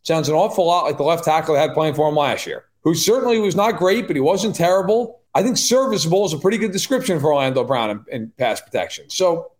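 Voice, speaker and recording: Quick, opinionated man, fairly high voice. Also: lively intonation. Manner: quick, opinionated, wry asides. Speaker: podcast guest analyst. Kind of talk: casual sports analysis chat. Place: live-streamed podcast.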